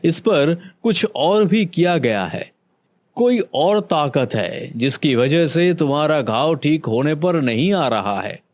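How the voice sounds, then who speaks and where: A man's voice: fairly high pitch, very animated, takes it slowly, a little mellow. A man voicing a solemn male doctor giving grim advice in a Hindi cartoon. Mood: grave. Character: grave male village doctor.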